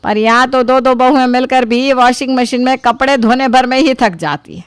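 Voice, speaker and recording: A woman playing a middle-aged, self-pitying, sarcastic mother-in-law in an animated story, scolding and complaining dramatically. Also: high voice, normal speed, smooth, no room sound, natural voice. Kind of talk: animated story character dialogue.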